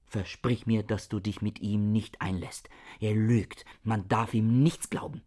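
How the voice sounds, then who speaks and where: Radio play casting: distrustful, indignant middle-aged male scholar, husky and urgent. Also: lowish voice, fairly even intonation, measured pace, warm tone. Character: suspicious, agitated, self-righteous middle-aged male scholar. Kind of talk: radio play acting in German.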